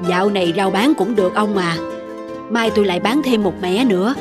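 A woman playing a kindly, thrifty older village wife, homely and caring, in an animated drama. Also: mid-range voice, a little fast, close to an everyday voice. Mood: contented. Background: music.

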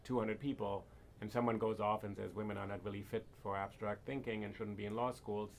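Man hosting a podcast, lowish gravelly voice, probing questions, faint background hiss. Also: level tone, a little fast. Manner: probing questions, thinks aloud. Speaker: podcast host. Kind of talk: podcast interview.

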